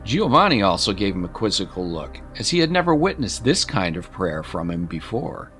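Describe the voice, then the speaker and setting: A man's voice: lowish voice, big pitch swings, normal speed, a bit bright. A man narrating a scripted story as an audiobook narrator, in a dramatic storytelling style. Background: music.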